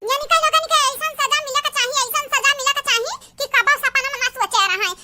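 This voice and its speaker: A woman voicing squabbling, melodramatic village women in a homemade comedy cartoon, with an eastern Uttar Pradesh accent, scolding and exclaiming through a pitched-up effect. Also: quick pace, bright, forceful tone, faint music behind, full character voice. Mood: scolding, indignant.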